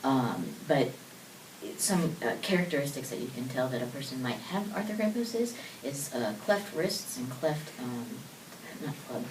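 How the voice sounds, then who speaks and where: Very low-voiced woman in her twenties, a loose, chatty guest, heard from off mic in an echoey room. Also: lively intonation, normal speed, recorded from a distance. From born in South Korea, raised in the United States. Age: 20s. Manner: laughing, casual, loose and talkative. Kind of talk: interview answers. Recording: roomy echo, voice from off mic, faint noise.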